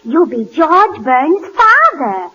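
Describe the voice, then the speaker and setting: A woman's voice: high voice, very animated, slow, sharp tone. A woman doing 1940s radio comedy with a scatterbrained, cheerfully illogical manner, heard on a muffled old broadcast.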